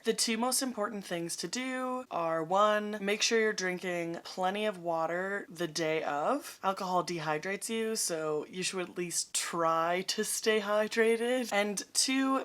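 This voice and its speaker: A young woman with a raspy voice, chatting through a how-to vlog in an upbeat, self-mocking way. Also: mid-range voice, fairly bright tone. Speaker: vlogger.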